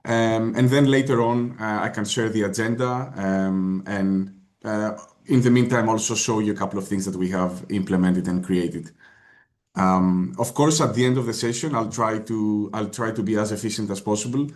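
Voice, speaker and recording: A man's voice: lowish voice, steady tone, moderate pace. A man from Greece with a Greek accent, presenting in a webinar over a video call, talking hesitantly with many filler sounds and restarts. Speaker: guest presenter.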